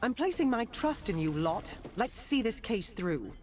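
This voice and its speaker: Crisp British police detective, music behind. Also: female voice, a little high, very animated, a little slow, a little mellow. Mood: calm, determined. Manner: crisp, formal, commanding. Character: poised, dutiful woman police detective and sharpshooter. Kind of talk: video game champion voice lines.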